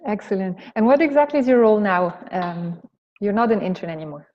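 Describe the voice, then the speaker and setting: A woman hosts an interview, asking her guest about his background in a friendly, slightly hesitant way. Her voice sits at a medium pitch. The sound is muffled and carries a long reverb. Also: brisk. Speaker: interviewer, host. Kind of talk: casual interview.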